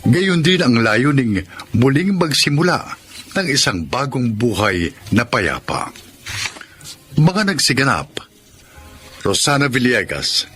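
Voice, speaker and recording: A man's voice: mid-range voice, sharp tone. A man hosting and announcing a radio drama in formal, scripted dramatic narration.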